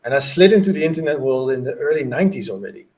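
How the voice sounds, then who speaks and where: A man's voice: mid-range voice, animated, racing, darkish tone. A man hosting an interview show, greeting viewers and asking questions in a friendly, informal way. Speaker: host.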